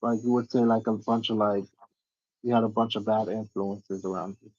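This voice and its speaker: Dull-sounding, dry, low-voiced man chatting casually. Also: flat delivery, moderate pace, darkish tone, no room sound. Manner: slangy, laid-back, agreeable, hedges with "like". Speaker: livestream interviewer and host. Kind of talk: casual chat interview.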